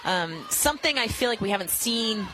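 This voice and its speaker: An American woman working as color analyst, explaining softball play in an informal, conversational way. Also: on the high side, animated, moderate pace, poor audio.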